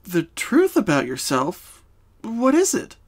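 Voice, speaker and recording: Woman voicing a high-pitched, caring pony friend. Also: huge pitch swings, takes it slowly, vocal fry, full character voice. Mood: confused, insistent. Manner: gentle, questioning, reassuring. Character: caring, concerned young female pony friend.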